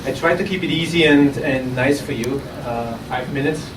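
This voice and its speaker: A man with an Austrian accent giving a live startup pitch to an audience, talking conversationally and often restarting his sentences. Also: moderate pace. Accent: Austrian German. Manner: conversational with frequent restarts and repeated words. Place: conference room at an innovation event. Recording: roomy, distant, noisy audience recording.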